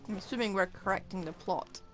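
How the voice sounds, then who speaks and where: A woman's voice: medium pitch, fast, fairly bright tone, soft background music. A woman giving casual live commentary on a game she is playing, with offhand, wry asides. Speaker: game streamer.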